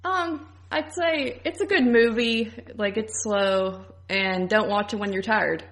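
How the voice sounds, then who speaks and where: A woman's voice: mid-range voice, slow pace, a bit bright. A woman co-hosting a podcast, giving her opinions in casual film review chat. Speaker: podcast co-host.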